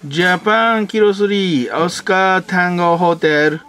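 A high-voiced man with a Japanese accent talks as an amateur radio operator making a contact. He exchanges call signs and chat, and loud radio hiss runs through the recording. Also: fast. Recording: noisy room with radio hiss.

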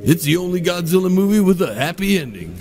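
An actor playing a gruff, sarcastic, grouchy uncle who leads a crew in a comedy audio drama. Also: male voice, high-pitched, big pitch swings, quick pace, a little mellow, soft background music. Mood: grumpy, sardonic. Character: grouchy, sarcastic, beer-obsessed uncle and ringleader.

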